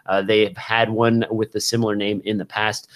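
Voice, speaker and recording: A man in his thirties with a fairly low voice hosts a podcast. He talks casually about tech gear, speaking closely into a studio microphone. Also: flat delivery, moderate pace, a bit bright. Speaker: podcast host. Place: small indoor room with shelves of gear. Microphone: broadcast microphone on a boom, close up, with headphones.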